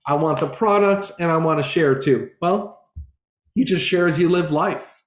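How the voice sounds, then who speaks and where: A man in his forties gives an earnest, informal sales pitch as a business coach, often stopping and restarting. His voice sits a little higher than most men's. The room around him rings with echo. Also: moderate pace. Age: 40s. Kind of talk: casual sales presentation.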